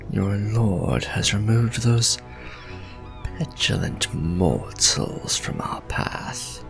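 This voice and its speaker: A man playing a proud, ancient vampire lord in a gothic romantic roleplay, courtly and menacing, speaking in formal, grandiose phrases with breathy edges. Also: lowish voice, exaggerated voice. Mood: weary tenderness. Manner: formal, archaic, grandiose, dramatic deliberate phrasing.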